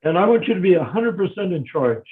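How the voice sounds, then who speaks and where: Older man, higher voice, emphatic and repetitive, on a tinny video call line. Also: very animated, quick pace. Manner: emphatic, repeats himself when starting sentences. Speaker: discussion guest. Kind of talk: casual discussion.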